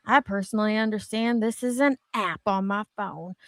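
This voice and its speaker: A woman's voice: mid-range voice, moderate pace, dry recording, studio quality. A woman chatting casually as a co-host, blunt and gossipy, swearing freely and reading comments out dramatically. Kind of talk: casual chat with dramatic read-outs.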